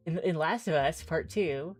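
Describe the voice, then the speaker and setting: A woman's voice: low-pitched, normal speed, soft background music. A woman streaming a visual novel, reading the game text aloud with theatrical flair and chatty asides.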